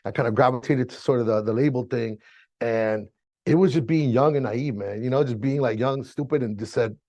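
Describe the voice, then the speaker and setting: A man's voice: mid-range voice, a little fast, mellow. A middle-aged American man being interviewed, telling stories casually in slangy, informal talk on a slightly dull mic. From Miami, raised there, born in Chile. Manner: slangy, informal, frequent "you know" and "bro". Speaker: interview guest, music engineer. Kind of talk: casual storytelling.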